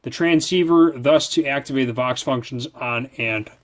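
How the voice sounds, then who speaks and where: A man's voice: mid-range voice, normal speed. A man reviewing a product, reading its manual aloud with casual asides, often stumbling over and repeating words.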